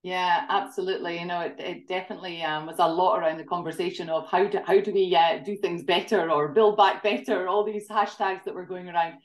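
A woman's voice: fairly low pitch, steady tone, a little fast, few pauses, roomy sound. A Scottish woman in her forties, a tourism association director, talking articulately as an interview guest over a video call from her home. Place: home living room. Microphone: laptop webcam video call.

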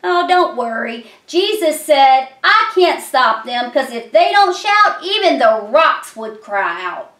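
A woman's voice: high-pitched, big pitch swings, slow, few pauses, fairly bright tone. A middle-aged woman hosting a children's puppet show, telling Bible stories in a simple, explanatory way. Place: small decorated room indoors. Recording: echoey room.

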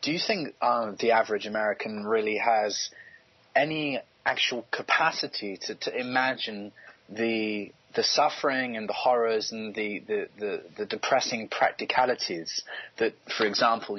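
A man co-hosting a radio show, asking questions in a halting way and repeating words as he works them out. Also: lowish voice, husky, no echo, lo-fi sound. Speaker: radio co-host.

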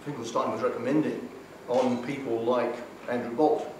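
A man speaking at a conference panel, halting and full of fillers as he builds his point. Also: medium pitch, steady tone, noisy background. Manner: halting, many fillers and restarts. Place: conference hall. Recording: echoey hall from far off.

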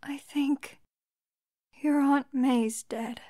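A woman's voice: high-pitched, fairly even intonation, very slow, mellow, no echo, studio quality, faint music behind. A woman playing a hesitant, sorrowful young psychic in a comic dub.

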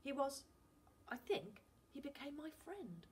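Woman voicing a desperate young boy, high and pleading. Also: sing-song, a little slow, a little hiss or hum. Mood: excited. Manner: pleading, breathless rush.

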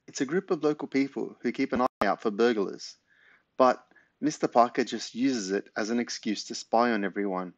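A man's voice: mid-range voice, normal speed, dry recording, lightly acted. A man voicing a feisty old granny in a storybook, bossy and full of indignation. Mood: grumbling.

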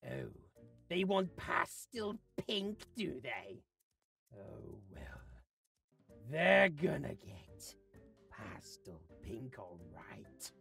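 A performer voicing an arrogant, exasperated creature who thinks itself a genius, raspy and theatrical, in a comic gameplay series. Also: mid-range voice, lively intonation, measured pace, pushed and bright, faint music behind, exaggerated voice. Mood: disgusted, grudging. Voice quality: raspy, gravelly. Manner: theatrical, haughty, melodramatic rants. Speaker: voiced character in a gaming series. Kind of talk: voice-acted gameplay comedy.

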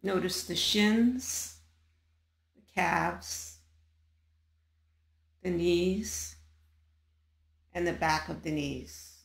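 A woman guiding a body scan meditation in a calm, measured manner, leaving long pauses between short phrases. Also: fairly low pitch, gravelly, echoey room. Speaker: meditation guide.

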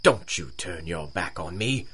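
Husky, haughty villainous hunter. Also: male voice, low-pitched, lively intonation, a little slow, pushed and bright, heavily acted. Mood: menacing. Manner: haughty, theatrical menace. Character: arrogant villainous male big-game hunter turning terrified. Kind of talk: 1930s-style radio adventure acting.

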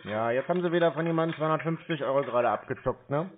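A man's voice: on the high side, very fast, a little hiss or hum. A man in a phone conversation, questioning a salesperson in a skeptical, probing way. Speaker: call recipient questioning a salesperson. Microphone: telephone. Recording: tinny, band-limited phone line.

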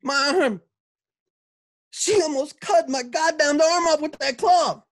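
A man voicing an excitable, frantic bandit under attack in a Western radio drama, with a yelping delivery. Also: extremely high pitch, takes it slowly, pitched above the performer's own voice, full character voice. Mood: pained panic.

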